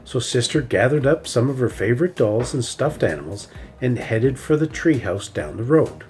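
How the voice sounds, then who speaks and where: A man reads a children's picture book aloud, his voice at a middle pitch but rising and falling with lively expression. Soft music plays faintly underneath. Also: normal speed. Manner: expressive, animated narration. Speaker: storybook reader. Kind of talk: children's story read-aloud.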